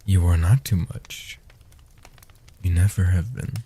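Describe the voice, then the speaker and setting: A man playing an ancient, protective male vampire in a soft-spoken comfort roleplay, speaking in a breathy, hushed, deliberate and soothing way. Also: low-pitched, exaggerated voice. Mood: tender, reassuring. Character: ancient male guardian vampire, protective, tender, world-weary.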